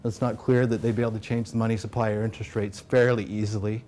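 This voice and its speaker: An American man presenting research at an academic conference, talking in a relaxed way with many fillers and restarts. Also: lowish voice, fast talker, a little hiss or hum. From United States. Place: conference room.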